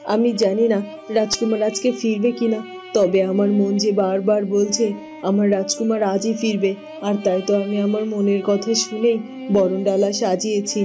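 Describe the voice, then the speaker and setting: A woman's voice: mid-range voice, little pitch movement, moderate pace, music in the background, natural voice. A woman voicing a hopeful, devoted queen and mother in a cartoon drama. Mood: hopeful, insistent. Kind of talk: dramatized cartoon story dialogue.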